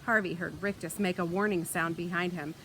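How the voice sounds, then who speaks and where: A woman reading a children's book aloud in an expressive storytelling style, recorded outdoors. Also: fairly low pitch, fairly quick, rough recording. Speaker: read-aloud reader. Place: outdoors in wind. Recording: light outdoor wind and ambient noise.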